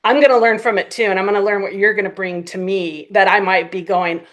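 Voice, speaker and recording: A woman's voice: mid-range voice, fairly even intonation, quick pace, a bit bright. A woman guest on a podcast, casually telling stories as a writer and director, animatedly acting out quoted exchanges. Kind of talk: casual anecdotal chat.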